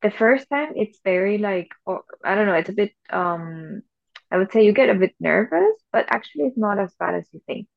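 Woman, from Venezuela, 30s, casual podcast chat, rambling with fillers, gravelly voice, dry sound. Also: mid-range voice, animated, brisk, no echo, highs cut off. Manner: chatty, many fillers and restarts. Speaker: podcast co-host.

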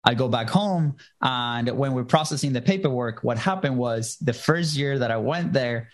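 A man's voice: medium pitch, moderate pace. A man in his thirties from Venezuela with a Venezuelan Spanish accent, a native Spanish speaker, telling his life story earnestly as a podcast guest. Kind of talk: casual storytelling interview.

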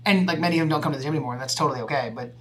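A man's voice: fairly high pitch, very fast, a bit bright, a little hiss or hum. A man in his early thirties hosting a podcast, easygoing and casual, swearing now and then. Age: 30s. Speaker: podcast host.

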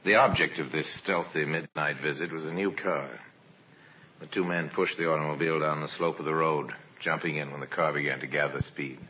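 An actor playing a grave, ominous narrator of a crime story in an old-time radio drama, solemn and dramatic. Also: male voice, very deep voice, steady tone. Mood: hushed, ominous. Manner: solemn, dramatic, measured delivery. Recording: muffled, very noisy old radio recording.

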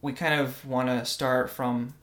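A man's voice: medium pitch, level tone, measured pace, a bit bright. Earnest young man hosting a faith podcast. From Russia. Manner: earnest, tag questions like "right?". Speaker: podcast co-host. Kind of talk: casual religious podcast talk.

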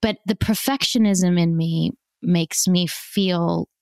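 A woman, a podcast guest speaking candidly and emotionally, often halting as she reflects. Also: on the low side, steady tone, gravelly, a little mellow, no room sound, studio quality. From born in South Africa, raised in Australia. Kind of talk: candid personal conversation.

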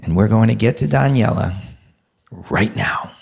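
A man with a gravelly voice hosting a conversational podcast interview. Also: fairly low pitch, normal speed. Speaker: interview host.

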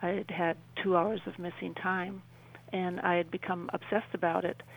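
A woman in her sixties with a Midwestern American accent, telling a personal story as an interview guest in a halting, conversational way. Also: lowish voice, little pitch movement, gravelly, rough recording. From southwestern Wisconsin, United States. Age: 60s.

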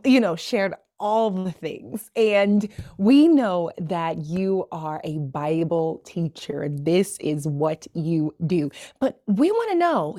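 Woman podcast host, lowish voice, dry close sound, gushing and chatty. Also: dry recording. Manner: warm, effusive, says y'all a lot. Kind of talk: casual podcast chat.

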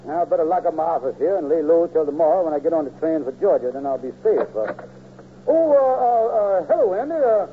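A man's voice: on the high side, animated, fast talker, natural voice. A man playing a scheming, grandiose con man with pompous, folksy, ungrammatical speech in a 1940s radio comedy. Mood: nervous evasion. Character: scheming, fast-talking male con man, blustering and grandiose. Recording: old tinny narrow-band radio, hissy hum.

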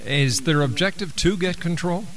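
A man's voice: on the high side, moderate pace, fairly bright tone, slight background noise. A man hosting a radio interview, putting brief, direct questions and giving short acknowledgements. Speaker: radio show host, interviewer.

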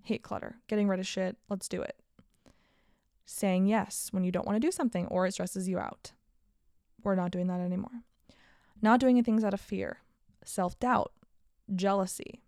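Chatty young woman with vocal fry. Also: mid-range voice, steady tone, moderate pace, long pauses, mellow, dry recording, studio quality. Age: 20s. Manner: candid, chatty, upbeat. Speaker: podcast host. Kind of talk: casual solo podcast monologue.

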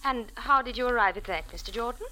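A sardonic, gun-toting femme fatale in 1940s radio noir. Her voice is fairly high, bright and forceful, with crisp, imperious command. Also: fast, low-quality recording. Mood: cool, poised. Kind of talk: 1940s radio drama acting.

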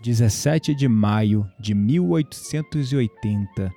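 An actor playing an intrigued, gently teasing young Brazilian man in an audio drama. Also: on the low side, unhurried, gravelly, faint music behind. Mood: solemn reading.

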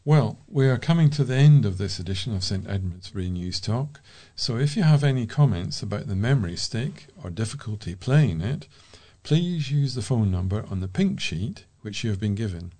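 A British man presents scripted closing notices for a volunteer news programme. His voice is fairly low, with a notably dark, mellow tone. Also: animated, moderate pace, hardly any pauses. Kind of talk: scripted sign-off announcement.

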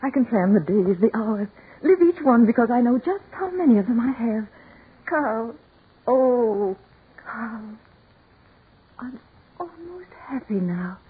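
A woman's voice: on the high side, sing-song, takes it slowly, long pauses, breathy edge, heavily acted. An actress playing a dying woman, rapturous and yearning, in the breathless, theatrical style of 1940s radio melodrama. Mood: rapturous, wistful. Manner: breathless, fervent, theatrical delivery. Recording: muffled, tinny 1940s radio recording with music.

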